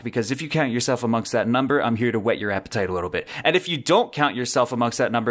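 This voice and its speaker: A man's voice: medium pitch, fairly bright tone. A man hosting a solo podcast, giving semi-scripted commentary in a wordy, enthusiastic, conversational way into a close desk microphone. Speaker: podcast host. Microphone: close desk-mounted microphone on boom arm.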